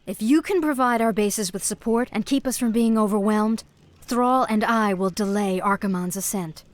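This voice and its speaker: A woman's voice: medium pitch, moderate pace, natural voice. A woman playing a young, earnest and resolute human sorceress with urgent delivery in a game cinematic. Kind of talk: dramatic game cinematic acting.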